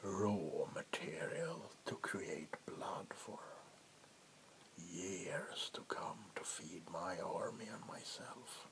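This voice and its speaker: Man playing a whispering, very slow vampire warlord. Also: sits low, very animated, full character voice. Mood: proud, gloating. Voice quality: breathy whisper. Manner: slow, deliberate, menacing. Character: ancient power-hungry vampire warlord, male, coldly commanding. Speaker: ASMR roleplay performer. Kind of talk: soft-spoken ASMR roleplay monologue. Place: small wood-panelled room indoors.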